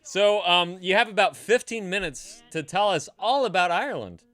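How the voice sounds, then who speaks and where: A bright-voiced male stream host, from California, who teases his guest and laughs a lot. His pitch bounces around lively, with faint music playing behind him. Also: normal speed, no echo. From California, Bay Area. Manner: playful and teasing, laughs often, swears casually. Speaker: livestream host. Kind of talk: casual chat.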